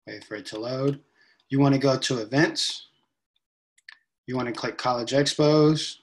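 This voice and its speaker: A young man giving a relaxed step-by-step tutorial, with frequent ums, recorded on a laptop webcam in a room at home. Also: mid-range voice, a little slow. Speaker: event staff giving a tutorial. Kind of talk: casual screen-share walkthrough.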